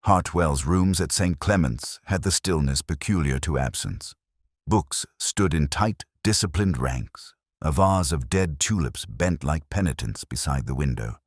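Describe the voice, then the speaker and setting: A man playing a melancholy, reflective police inspector, narrating his case in a measured, literary way like an audio drama. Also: very deep voice, animated, slightly rough, no room sound, studio quality, exaggerated voice. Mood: brooding, reflective. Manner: measured, literary, brooding delivery. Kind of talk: scripted first-person detective audio drama narration.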